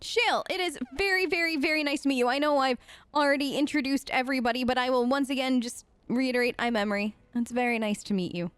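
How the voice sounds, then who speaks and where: A woman with a high voice, recorded close and dry. She role-plays her game character as a let's play host, talking in a casual, rambling, hesitant way. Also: quick pace, a bit bright, clean tone, dry recording. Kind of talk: improvised in-character game dialogue, casual chat.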